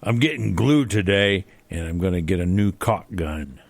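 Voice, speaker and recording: A man's voice: low voice, animated, moderate pace, gravelly, no echo, heavily acted. A man playing a folksy, drawling, grumbling cowboy in an old-west hardware store comedy radio play. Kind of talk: comedy radio theater acting.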